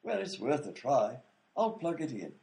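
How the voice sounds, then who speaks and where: A man's voice: mid-range voice, big pitch swings, moderate pace, vocal fry, mellow. A man playing an eccentric, long-winded male inventor in a comedy radio play, explaining things fussily with lots of "you see". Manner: explains at length with fussy fillers like "you see".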